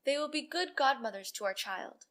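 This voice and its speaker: Woman reading as storybook queen, caring mother, high bright voice, earnest, formal. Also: animated, normal speed. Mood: hopeful.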